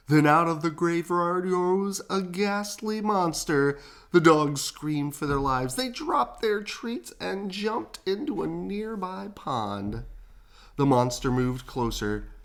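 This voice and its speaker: A woman reading a children's storybook aloud expressively, with dramatic pauses and casual asides. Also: fairly low pitch, very animated. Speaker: storybook reader. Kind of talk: animated children's read-aloud with casual chat.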